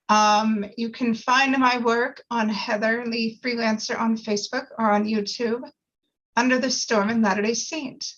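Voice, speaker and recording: A woman's voice: a little high, fairly bright tone. A woman introducing herself as an actor over a video call. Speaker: actor and writer. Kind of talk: self-introduction. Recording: dull lo-fi video call audio.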